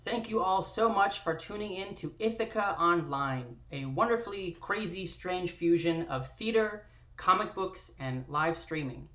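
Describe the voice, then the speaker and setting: A woman's voice: on the low side, lively intonation, unhurried, a bit bright. A woman hosting a live-streamed table read, introducing it and narrating from a script.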